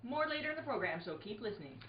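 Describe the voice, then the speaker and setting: A woman performs playful radio comedy announcing and banter. Her voice is high and swings a lot in pitch. The sound is dull and roomy. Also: big pitch swings, rapid-fire. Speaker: show performer. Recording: roomy, dull, noisy live recording.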